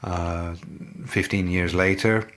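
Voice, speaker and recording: A middle-aged man with an English accent, a guest in a podcast interview, speaking in a thoughtful, measured way with many fillers and restarts. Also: sits low, fairly even intonation, fairly bright tone. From England. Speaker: guest, business leader.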